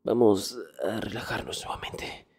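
A man playing an arrogant nerdy young student in an intimate ASMR roleplay, hushed and often dropping into whispers. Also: lowish voice, a little slow, husky, soft background music, full character voice. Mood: exasperated. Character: arrogant nerdy young male student, exasperated, teasingly dominant. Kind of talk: Spanish ASMR boyfriend roleplay, intimate one-sided dialogue.

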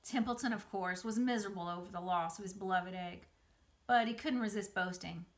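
A middle-aged woman reading a children's story aloud indoors, in an expressive, dramatized way. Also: mid-range voice, brisk. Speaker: museum educator reading aloud. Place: small room by a window, indoors.

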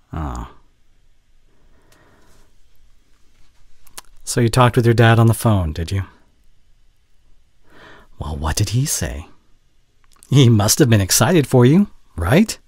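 A man playing a caring, worried boyfriend in an intimate audio roleplay, with a soft, breathy voice and tender, halting delivery close to the microphone. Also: medium pitch, dry recording. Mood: upset, pleading. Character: caring, worried young boyfriend, gentle and earnest. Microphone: close studio condenser microphone.

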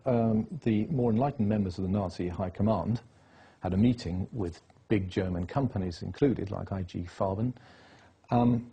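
A man speaks as an interview guest with a deep-set, mellow, strongly husky voice. He talks in a lecturing, insistent way, stacking up dates and facts one after another. He rarely lets a point go. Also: moderate pace, muffled recording. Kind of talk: political interview.

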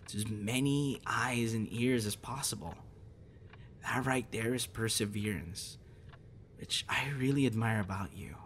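Guy acting as sweet encouraging young man, asmr boyfriend roleplay, lowish soft voice, bit hesitant, some background noise. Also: close to an everyday voice. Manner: soft, hesitant, reassuring, conversational. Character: gentle, encouraging young male voice actor, caring collaborator.

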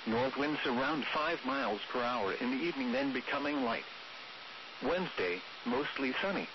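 An automated male announcer reads scripted weather forecasts and observations in a measured, formal, synthetic-sounding way. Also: mid-range voice. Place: weather radio broadcast played through a streaming app. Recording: noisy, dull weather radio stream.

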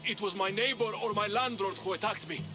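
A fearful, weary older farmer who was once a priest, acted by a man, talking in a notably high male voice. He sounds guarded, as a game character would, with music behind him. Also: a little fast, close to an everyday voice. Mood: wary, resigned. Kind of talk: video game voice acting. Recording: music under the voice. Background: background music.

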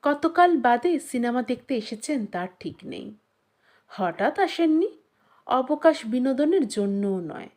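A woman narrating a literary short story, reading from a script in a measured, expressive storytelling manner. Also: high voice.

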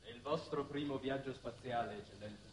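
A man's voice: mid-range voice, a little fast, lightly acted. An actor in his thirties playing a formal, deferential ship's doctor in a 1960s television drama, with courteous, precise diction. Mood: formal, measured. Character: formal, deferential male doctor aboard a spaceship. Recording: noisy old 1960s television soundtrack.

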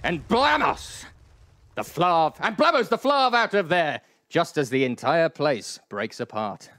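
A man's voice: on the high side, very animated, unhurried, bright, forceful tone. A man narrating a comic live radio play in a playful, emphatic storybook manner.

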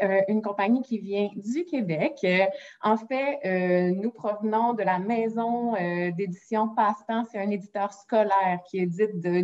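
Québécois woman, forties, friendly presenter, dull lo-fi laptop audio, mid pitch. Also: darkish tone. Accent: Québécois French. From Quebec, Canada. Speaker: game company presenter. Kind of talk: webinar product presentation. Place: room at home with window blinds. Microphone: laptop mic on video call. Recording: muffled video call audio.

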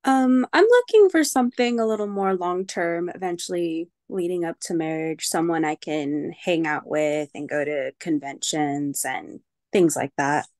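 A woman's voice: lowish voice, a little slow, darkish tone, no room sound, studio quality. A woman in her late twenties chatting casually as a guest on a video call. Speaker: dating show guest. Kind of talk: casual chat. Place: room at home, video call.

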